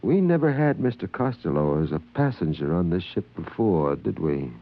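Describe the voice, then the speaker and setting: An actor playing a stern, suspicious spaceship captain in an old radio drama, speaking in a commanding, interrogating manner. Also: male voice, low-pitched, sing-song, moderate pace, exaggerated voice. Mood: stern, probing. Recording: muffled old radio recording.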